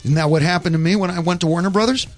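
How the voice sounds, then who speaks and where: A man's voice: high-pitched, very fast, faint music behind. A man hosting a call-in radio show, arguing his points in a rambling way with wry asides.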